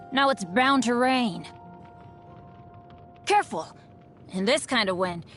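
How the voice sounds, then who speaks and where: Woman acting as an eager, high-voiced boy adventurer. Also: a bit bright. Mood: rueful. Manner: eager, boyish, earnest. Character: upbeat, unlucky teenage boy adventurer. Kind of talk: video game character voice lines. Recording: studio voice over background music.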